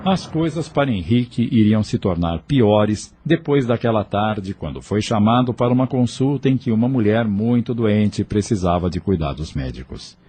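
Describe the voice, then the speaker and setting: Low-voiced, expressive male storyteller. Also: moderate pace, few pauses, slight background noise. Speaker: narrator. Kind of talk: scripted dramatic audio novel narration.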